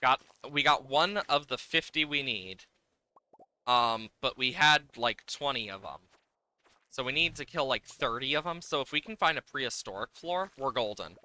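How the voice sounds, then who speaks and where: A man's voice: medium pitch, lively intonation, normal speed, pushed and bright, no room sound, rough recording. A man giving casual livestream game commentary, playfully exasperated, swearing and exclaiming at the game as he plays.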